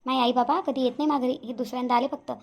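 A woman's voice: high voice, fairly even intonation, rapid-fire. A woman voicing an earnest, easily hurt young daughter-in-law in a cartoon family drama, with a Varhadi Marathi accent. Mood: aggrieved. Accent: Varhadi (Vidarbha) Marathi.

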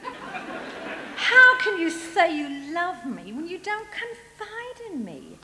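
A woman's voice: on the high side, big pitch swings, slow pace. A woman playing a coaxing, pouting, nagging temptress in a staged Bible story reading. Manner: theatrical, coaxing, crying out shrilly. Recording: echoey hall, background noise.

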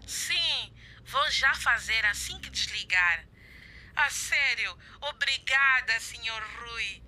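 A woman's voice: on the high side, animated, slow, pushed and bright, full character voice, rough recording. A woman playing a trusting, grateful customer in a radio drama, effusive and eager.

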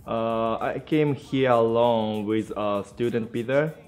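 A young man from Tokyo speaking English with a Japanese accent in an interview, careful and halting. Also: medium pitch, darkish tone, far from the mic, faint music behind. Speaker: student.

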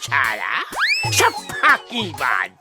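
A woman's voice: extremely low pitch, wildly swooping pitch, slow pace, raised voice, over loud music, heavily acted. A woman voicing a cranky, mischievous, hard-of-hearing old grandparent in a comic Bengali cartoon, scolding and exclaiming in a muddled way. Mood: scolding, irritated.

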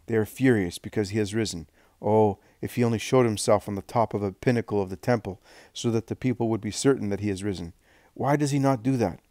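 A man's voice: lowish voice, fast talker, mellow, no echo, studio quality, lightly acted. A man reading aloud, voicing a consoling older man who speaks earnestly and reassuringly.

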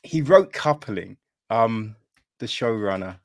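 An older man chatting casually as the interviewer in a relaxed, informal conversation. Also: lowish voice, slow. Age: older, 50s or 60s. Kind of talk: casual chat. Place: room at home, video call.

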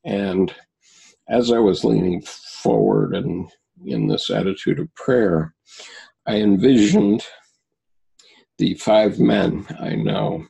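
A man in his seventies, a guest recounting personal experiences in a halting way, with many pauses and restarts. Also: on the low side, creaky, warm tone, slightly rough, poor audio. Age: 70s.

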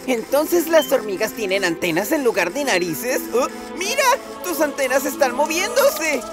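A woman's voice: high voice, big pitch swings, normal speed. A woman voicing a curious, friendly young male explorer in a children's cartoon, wide-eyed and exclamatory. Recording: children's music behind.

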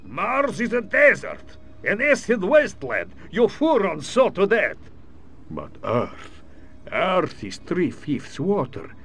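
An actor playing a scheming alien villain posing as a general, speaking with a gloating, theatrical manner in a video game cutscene. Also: male voice, sits high, huge pitch swings, takes it slowly, a bit bright, slight background noise, full character voice. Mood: triumphant.

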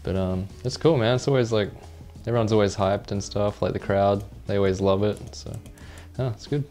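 A young man from Australia chatting in an easygoing, relaxed way about skating. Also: on the low side, normal speed, soft background music. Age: young adult. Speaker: pro skateboarder. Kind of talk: casual interview answers.

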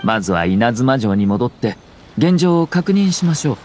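A man voicing a calm, courteous and calculating young nobleman in an anime-style game, speaking formally with subtle mockery. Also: medium pitch, a little hiss or hum, close to an everyday voice. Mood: calm, strategic. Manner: polite, composed, measured formal speech.

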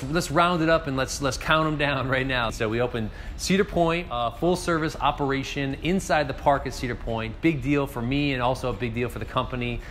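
A man's voice: medium pitch, a bit bright, slight background noise. A man in his forties from northeast Ohio, a restaurant owner giving an upbeat, casual year recap straight to camera from his empty bar, gesturing as he talks. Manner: upbeat, gestures with hands while talking. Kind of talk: casual direct-to-camera talk. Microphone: seated facing a mid-distance camera.

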